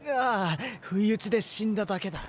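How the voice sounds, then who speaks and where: An actor playing an earnest, excitable teenage boy in Japanese anime. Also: mid-range voice, racing, close to an everyday voice. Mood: startled, smitten.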